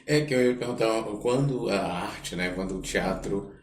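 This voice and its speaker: A man's voice: lowish voice, moderate pace, recorded from a distance. A Brazilian man hosting a podcast, chatting casually as he interviews a guest. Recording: echoey room, off-mic.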